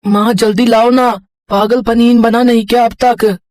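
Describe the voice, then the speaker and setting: A woman's voice: a little high, flat delivery, normal speed, darkish tone, dry recording, studio quality, close to an everyday voice. A woman voicing an eager, innocent little village boy with childlike pleading in a cartoon story. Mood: eager, excited.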